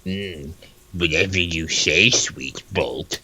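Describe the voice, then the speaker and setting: A man voicing a submissive, sultry lover in a comic dub, murmuring in drawn-out phrases. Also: sits low, sharp tone, heavily acted, rough recording. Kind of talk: comic dub reading of a web comic.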